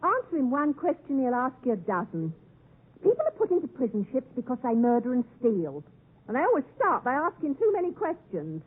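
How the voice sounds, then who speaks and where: An actress playing a shrewish, exasperated blacksmith's wife with a sharp tongue, in 1950s radio drama style. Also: female voice, high voice, huge pitch swings, a little fast, husky, full character voice. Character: shrewish scolding blacksmith's wife, harsh older sister. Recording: tinny 1950s radio broadcast.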